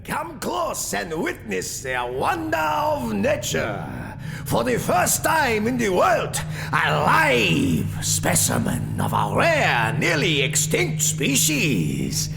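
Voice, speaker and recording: A man's voice: high voice, gravelly, big-room sound, pitched above the performer's own voice, heavily acted. A man voicing a sly showman who hawks his wonders grandly in a fake Russian accent, in a theatrical audio story. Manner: grandiose, drawn-out hawking. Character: sly showman Stanley, faking a booming Russian carnival barker. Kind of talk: theatrical audiobook character acting.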